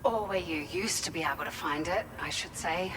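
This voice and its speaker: Actress as kind chatty game facility lady, concerned, slightly low voice, echoey hall. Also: moderate pace, fairly bright tone, natural voice. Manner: grateful, caring, chatty. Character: warm-hearted, concerned facility worker receiving cargo. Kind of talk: video game dialogue, conversational. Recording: long reverberant hall, clear background noise.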